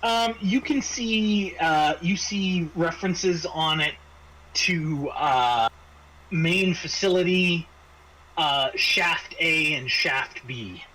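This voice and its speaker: A man acting as game master, improvising scene descriptions in halting phrases with frequent repeats. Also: a little high, pushed and bright, rough recording. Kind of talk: improvised narration of a tabletop game. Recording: faint background noise.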